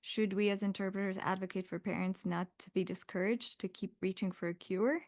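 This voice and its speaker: A woman's voice: medium pitch, fairly even intonation, a little fast. A woman moderating a webinar, reading attendee questions aloud and speaking directly about interpreter ethics.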